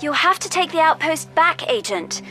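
A caring mission controller in a video game. Her voice is high and very expressive. She gives composed, earnest briefings over faint music. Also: moderate pace. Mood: concerned, instructing.

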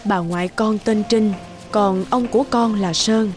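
A woman voicing a worried middle-aged mother in an animated folk tale, speaking in a measured, grave way over music. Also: mid-range voice, pitched below the performer's own voice, natural voice. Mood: grave, sorrowful. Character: worried middle-aged mother recounting a sad family past. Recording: music under the voice.

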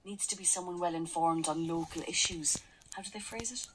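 An actress in a radio drama playing a practical, gently teasing woman who works alongside her partner. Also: lowish voice, brisk, a little hiss or hum, natural voice, low-quality recording. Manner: teasing, matter-of-fact.

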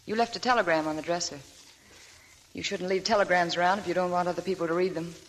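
An actress playing a weary, guarded young woman from Maine in a 1940s radio play, speaking softly and wistfully. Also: lowish voice, fairly even intonation, quick pace, slight background noise, lightly acted, poor audio. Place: radio studio.

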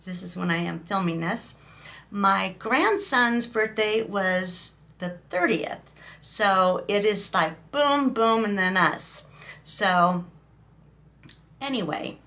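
A woman in her fifties, hoarse from a recent cough, chatting emotionally to camera in a small room, rambling with fillers. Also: medium pitch, slow, bright, forceful tone. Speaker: vlogger. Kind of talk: casual talking-head vlog.

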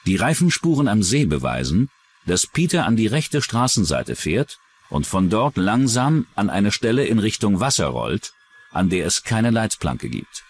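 Low-voiced, animated male documentary narrator. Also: a little slow, a little hiss or hum. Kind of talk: scripted true-crime narration.

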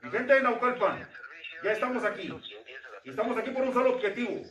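A man's voice: very high voice, expressive, fast talker, a bit bright, faint music behind. A man delivering an aggressive, insulting threat message in a tense, strained way. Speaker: masked gunman reading a threat video. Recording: distant roomy phone video.

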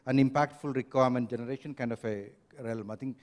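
A man's voice: mid-range voice, steady tone, quick pace, a little mellow. A man on a conference panel giving firm, advisory points with frequent restarts, speaking into a handheld mic in a large hotel hall.

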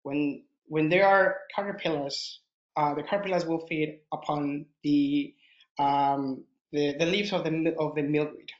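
A man's voice: a little high, fairly even intonation, measured pace. A man from Mexico with a Mexican accent, presenting a nature webinar over a video call in slightly broken English with frequent fillers. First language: Spanish. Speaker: expedition leader presenting a webinar. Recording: roomy, dull video call audio.

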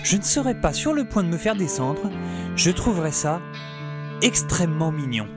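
An excitable young man played by a male actor narrates his post-apocalyptic audio saga. He is wordy and self-mocking, with very lively swings of pitch. Loud music runs underneath. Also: moderate pace, lightly acted. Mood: awed, wry. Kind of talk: dramatised first-person audio saga narration.

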